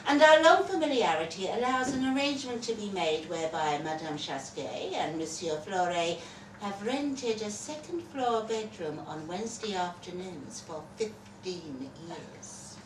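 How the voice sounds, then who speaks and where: A woman reading a literary short story to a live audience in a theatrical, wry and deliberate way. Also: mid-range voice, big pitch swings. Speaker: reader performing a short story at a festival. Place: live festival reading in a roomy indoor space. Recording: roomy live event recording, distant mic, faint noise.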